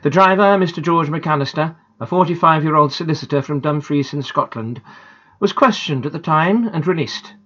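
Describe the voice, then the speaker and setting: A reader voicing a newsreader, delivering a report in a measured, formal way. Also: mid-range voice, a bit bright, lo-fi sound, voice pushed down, close to an everyday voice.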